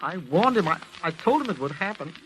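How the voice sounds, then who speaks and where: Tense, tormented, stammering radio drama invalid. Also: male voice, on the high side, big pitch swings, a bit bright, faint music behind. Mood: troubled, apologetic. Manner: halting, stammering, overwrought. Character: tormented, guilt-ridden, fearful sick man. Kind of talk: 1940s radio drama acting. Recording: noisy old 1940s radio recording.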